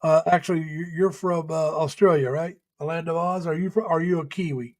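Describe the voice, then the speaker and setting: Brief: middle-aged man, slightly high voice, folksy and rambling, laughs a lot, halting uhs, chatting to viewers on a home stream. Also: steady tone. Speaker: live stream host. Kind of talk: casual chat reading viewer comments. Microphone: home webcam or computer mic.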